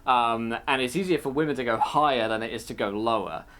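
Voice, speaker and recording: A man, the game master, chatting casually and giving roleplay tips, with a relaxed, rambling delivery. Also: medium pitch, fairly quick. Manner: relaxed, rambling explanations with many fillers.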